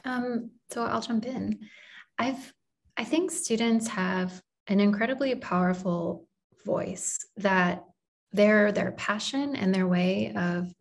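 A woman's voice: medium pitch, fairly even intonation, slow, gravelly. Earnest woman on a video call. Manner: earnest, encouraging. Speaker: panelist. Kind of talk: panel discussion answer. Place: office with shelves. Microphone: video call, laptop camera.

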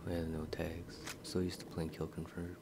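A man's voice: sits low, completely flat delivery, moderate pace. A young man giving casual gameplay commentary with offhand, muttered reactions. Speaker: gaming video creator. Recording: loud game noise behind voice. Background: shooter game gunfire and vehicles.

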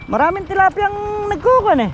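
A man's voice: very high-pitched, expressive, quick pace, highs cut off. A man with a Filipino accent chatting informally as he narrates a casual farm vlog outdoors on an action camera. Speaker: farm vlogger. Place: open farm field by water, outdoors. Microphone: handheld action camera. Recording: windy, noisy outdoor action-camera audio.

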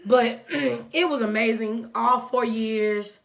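A young woman with a raspy voice, a bit sick and clearing her throat, chatting casually at a table while eating. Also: a little high, slow. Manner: relaxed storytelling. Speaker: mukbang co-host. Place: indoor home studio.

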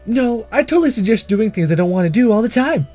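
Casting: male player voicing a peppy anime schoolgirl, teasing and sarcastic, lively high delivery, music underneath. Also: fast. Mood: playful teasing. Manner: playful, sarcastic, emphatic. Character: bubbly, teasing high-school girl in anime visual novel.

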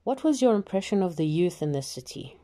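A woman hosting an interview, introducing a video and asking questions in a measured way. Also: lowish voice, very animated, warm tone. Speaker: interviewer and host.

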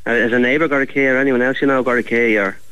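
A man's voice: medium pitch, fairly even intonation, racing, sharp tone, clear, resonant tone, dry recording. An Irish man chatting casually on a phone call, helpful and easily amused as he gives advice. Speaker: chimney sweep taking a phone call.

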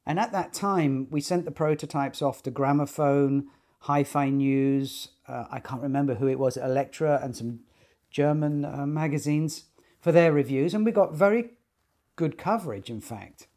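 A man in his sixties giving an informal explanatory talk to camera, speaking in measured, deliberate phrases with pauses. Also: a little high, darkish tone. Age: 60s. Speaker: loudspeaker designer and company technical director. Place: small attic listening room indoors.

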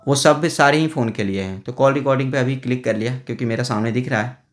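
A man's voice: mid-range voice, fast. A man hosting a casual tech tutorial, talking through phone settings step by step with a chatty, explaining manner. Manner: chatty, explains step by step with frequent "na" and "thik hai". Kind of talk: casual phone how-to voice-over. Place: indoors at a table, filming a phone on a stand.

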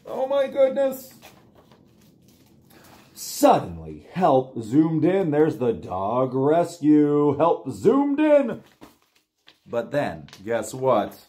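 A middle-aged American man reading a picture book aloud to young children in an animated, encouraging way. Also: fairly high pitch, extremely slow, long pauses. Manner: animated, exclaiming, simple encouraging talk to little ones. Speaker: children's librarian reading online storytime. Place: small plain room indoors.

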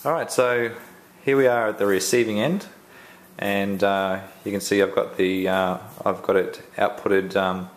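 An Australian man giving a casual unboxing walkthrough at home, halting and full of ums and restarts. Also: fairly low pitch, fairly even intonation. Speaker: tech reviewer. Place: indoors at home, filming the box up close.

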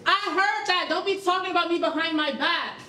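A woman's voice: extremely high pitch, fairly quick, bright, forceful tone. A woman playing a bossy, exasperated but loving young American mother with a sassy, scolding manner in a staged reading. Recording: roomy hall, faint noise.

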